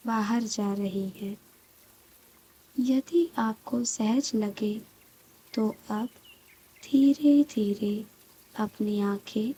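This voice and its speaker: A woman guiding a scripted meditation in a soft, breathy voice, calm and soothing, with long pauses between short phrases. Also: fairly high pitch, slight background noise, poor audio. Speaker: meditation guide. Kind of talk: slow guided meditation, scripted.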